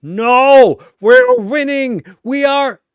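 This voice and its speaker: A man voicing a furious, domineering god personality, shouting imperiously in a dramatic story. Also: extremely high pitch, extremely slow, clean tone, dry recording, clean studio sound, pitched above the performer's own voice, full character voice. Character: raging, domineering golden god personality, male, grandiose.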